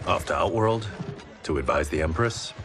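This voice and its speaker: A man's voice: deep voice, lively intonation, moderate pace, a bit bright, faint music behind. An actor playing a courteous, dutiful blind swordsman in a video game, understated and wry. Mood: wry, friendly. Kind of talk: cinematic game cutscene acting.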